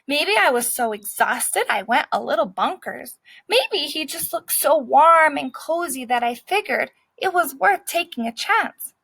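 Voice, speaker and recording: A woman's voice: high voice, animated, unhurried, vocal fry, pushed and bright, dry recording, heavily acted, rough recording. A woman voicing a scrappy, streetwise male dog who narrates his own story in a brash, cocky way.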